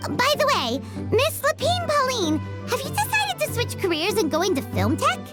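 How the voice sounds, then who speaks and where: A tiny fairy companion in a video game. The voice is squeaky and childlike, swinging up and down in a cheeky, blunt way. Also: female voice, wildly swooping pitch, moderate pace, full character voice. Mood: surprised, curious. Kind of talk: animated video game acting. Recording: music behind the voice.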